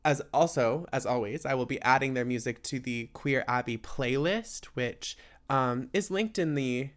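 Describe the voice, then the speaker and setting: A man's voice: mid-range voice, moderate pace, fairly bright tone. A man hosting a casual solo podcast, laid-back and rambling, with plenty of fillers and tangents. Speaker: podcast host.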